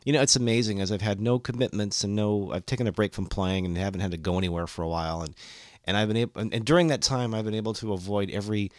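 Hoarse, low-voiced man hosting a podcast. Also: fairly even intonation, fast, no echo, clean studio sound. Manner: rambling, with frequent restarts and fillers. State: hoarse from a cold. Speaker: podcast host. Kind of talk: casual solo podcast talk.

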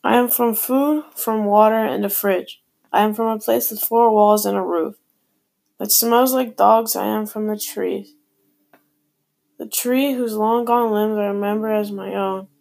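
A woman's voice: mid-range voice, fairly even intonation, measured pace, vocal fry, a little mellow. A teenage girl reading her own poem aloud plainly. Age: teens. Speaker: student.

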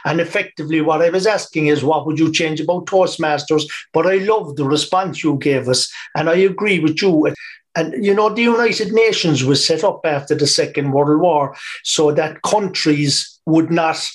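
A man hosting a podcast interview, encouraging and quick with praise for his guest. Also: a little high. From Ireland. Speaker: podcast host.

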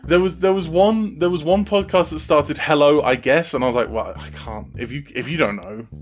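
A man chatting casually as a podcast guest, animated and halting, often repeating himself as he explains his writing. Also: a little high, soft background music. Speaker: podcast guest, audio fiction writer. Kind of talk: casual interview chat.

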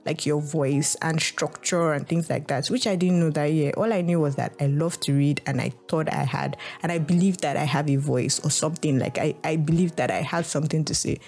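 A woman's voice: low-pitched, fairly even intonation, brisk, hardly any pauses, a little mellow. A young Nigerian woman with a Nigerian English accent, hosting a podcast in a casual, reflective monologue, full of "like" and restarts. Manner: rambling, heavy use of "like" and restarts. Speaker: podcast host.

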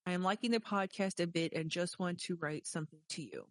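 Low-voiced woman reading listener mail. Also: brisk, dry recording. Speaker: podcast co-host reading listener emails. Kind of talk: reading emails aloud with asides.

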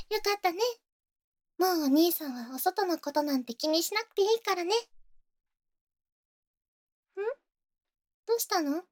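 A woman's voice: extremely high pitch, lively intonation, fairly quick. A woman playing a possessive, sweetly menacing young rich girl in a Japanese ASMR voice drama, with cutesy, coaxing phrasing and whispers. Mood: sweetly gloating.

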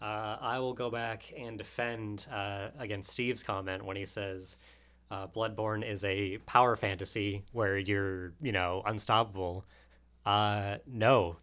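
Low-voiced man in casual debate. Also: steady tone, unhurried, a bit bright, clear, resonant tone. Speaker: podcast panelist.

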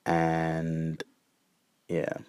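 A man's voice: a bass voice, monotone, extremely slow, a little mellow, clean tone, dry recording, studio quality. A young man hosting his own podcast, talking earnestly and hesitantly, stumbling over repeated words and fillers. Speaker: podcast host. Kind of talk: reflective solo podcast monologue.

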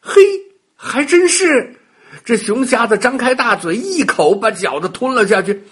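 A man telling a children's bedtime story in a dramatic, expressive way, with exclamations and sound words. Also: very high-pitched, a little slow, slightly rough.

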